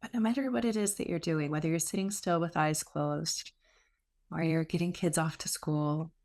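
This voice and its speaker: Low-pitched, creaky-voiced woman guiding meditation. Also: a little mellow. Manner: soothing, unforced, with long pauses. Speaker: yoga and meditation teacher. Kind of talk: guided meditation, gentle spoken instruction.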